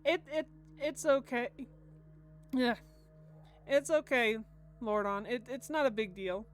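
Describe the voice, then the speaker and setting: American woman streaming over soft music. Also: on the high side, takes it slowly. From United States. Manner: stammers and repeats words. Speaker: game streamer. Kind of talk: casual livestream commentary and reading aloud.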